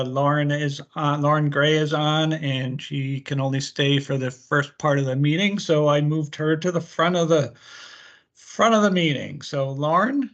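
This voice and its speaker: A man with a slightly high voice runs a formal committee meeting. He moves through procedure as chair. The sound is a dull, lo-fi video call. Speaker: meeting co-chair, government agency staffer.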